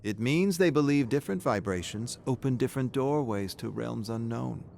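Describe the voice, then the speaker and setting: A man's voice: mid-range voice, expressive, moderate pace, vocal fry, darkish tone. A man narrating a scripted horror story in a dramatic style, with noise behind him.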